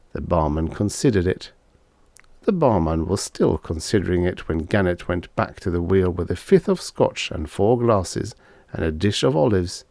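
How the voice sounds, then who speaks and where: A man reading a short story aloud as an audiobook narrator, with expressive, scripted delivery. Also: low-pitched, creaky, no echo.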